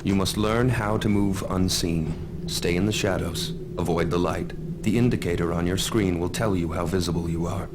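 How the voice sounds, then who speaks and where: Video game tutor played by a man: deep, formal, measured, stern mentor, clear noise behind. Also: steady tone, close to an everyday voice. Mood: calm, instructive. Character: stern, composed male mentor training a young thief. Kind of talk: scripted game tutorial instruction. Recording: game audio with noisy background.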